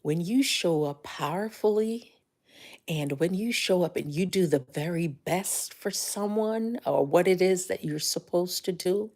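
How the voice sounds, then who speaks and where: An older woman with a Jamaican accent giving motivational talk on a livestream, telling stories animatedly and dropping to whispers. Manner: animated storytelling, rises high when excited, drops to whispers. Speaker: life coach and livestream host.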